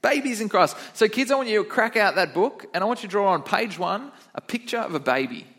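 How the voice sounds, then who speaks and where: A young man with a fairly high voice leads a children's sermon in church. He is upbeat and chatty, and he keeps stopping to quiz the kids in the room. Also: expressive, brisk, fairly bright tone. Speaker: kids pastor.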